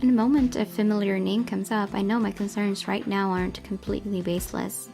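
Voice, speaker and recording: Woman reading a visual novel out loud, mid pitched voice, music clearly behind her. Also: steady tone, brisk. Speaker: gaming channel host reading aloud. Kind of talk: visual novel narration, read aloud.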